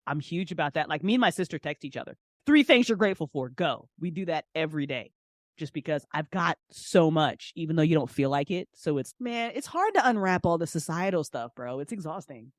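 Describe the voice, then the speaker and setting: A woman in her forties chatting candidly and informally as an interview guest, with expressive, slangy delivery. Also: low-pitched, brisk, dry recording, studio quality. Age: 40s. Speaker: podcast guest, audio drama creator and writer. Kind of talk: casual, candid interview chat.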